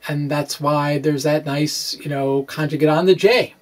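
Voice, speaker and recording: A middle-aged man presenting a blog aloud with rambling asides, speaking in a halting, hesitant way and often correcting himself. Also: a little high, fairly even intonation. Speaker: physics blogger and vlogger. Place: small indoor room with bookshelves.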